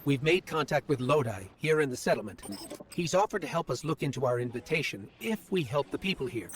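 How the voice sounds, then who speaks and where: A small robot companion from a video game, played by a man, speaks in a fairly high, very animated voice. It is earnest and polite, with precise wording and an innocent curiosity. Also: a little fast, lightly acted. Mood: earnest, watchful.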